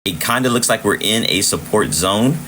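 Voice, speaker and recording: A man with a deep but forceful voice hosts a live trading stream, shouting out followers and walking through charts in a loose, repetitive way. His voice is wearing thin and going hoarse. A faint room hum sits under him. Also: expressive, moderate pace. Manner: chatty, shouting out viewers, repeats himself. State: losing his voice. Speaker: livestream trading host. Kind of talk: casual live chart commentary.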